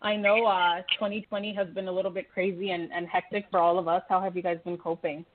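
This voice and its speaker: A woman in her twenties from Canada, hosting a relaxed radio talk and chatting easily with her guests. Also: fairly low pitch, quick pace. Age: 20s. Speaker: host. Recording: tinny phone line.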